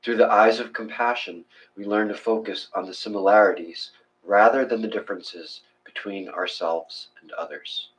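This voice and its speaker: A man leading a guided meditation and reading aloud in a measured, gentle, instructional way. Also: fairly low pitch, steady tone, rough recording.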